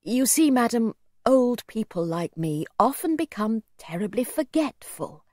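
A woman voicing a gentle, pleading, very old gentleman in a storybook, well-spoken and old-fashioned in his courtesy. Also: a little high, sing-song, unhurried, no echo, clean studio sound. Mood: apologetic, coaxing. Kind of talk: storybook character voice.

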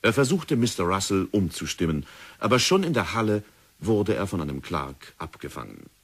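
Deep-voiced man narrating a radio play. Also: big pitch swings, breathy edge. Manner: measured, dramatic storytelling. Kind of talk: scripted radio drama narration.

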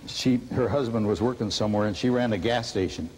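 A man's voice: on the low side, quick pace, a little mellow, a little hiss or hum, poor audio. An older man hosting a comic cooking show, folksy and rambling, with a dry sense of humor.

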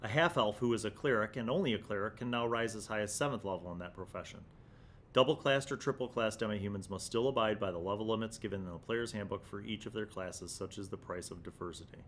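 A middle-aged man hosting a review, explaining and reading aloud in a deliberate way, recorded at a desk microphone in a small studio. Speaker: host of a tabletop gaming review series. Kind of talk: review commentary mixed with reading aloud from a magazine. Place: small indoor studio with block wall. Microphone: large condenser mic on desk. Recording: low rumbling background noise.

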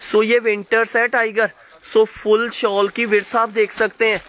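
High bright-voiced man selling clothes fast. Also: flat delivery, a little hiss or hum. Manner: fast hyped patter, addresses viewers by name. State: sore throat, coughing. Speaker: live-sale clothing seller. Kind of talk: live sales pitch to viewers. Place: indoor shop floor, clothes laid on mat.

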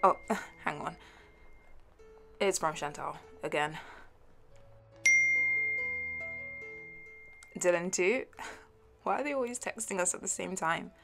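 A woman's voice: on the low side, expressive, measured pace, vocal fry, long pauses, over loud music. A woman playing a playful, shyly fond young best friend in an intimate audio roleplay, giggly and hesitant. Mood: confused, curious. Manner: giggly, hesitant, soft trailing asides. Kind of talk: intimate audio roleplay, one side of a conversation.

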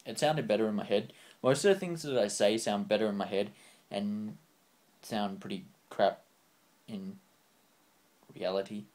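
A young man signing off his vlog, rambling off the cuff and earnest, his voice getting a bit croaky. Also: lowish voice, measured pace, pauses often, a little mellow. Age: young adult. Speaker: channel host. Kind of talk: unscripted vlog sign-off. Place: small room indoors, on a couch.

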